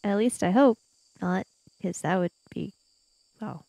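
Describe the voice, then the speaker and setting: A woman's voice: medium pitch, creaky, dark tone, no room sound. A woman chatting casually and playfully as she improvises as a player in a tabletop roleplaying game. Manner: playful, quick to joke.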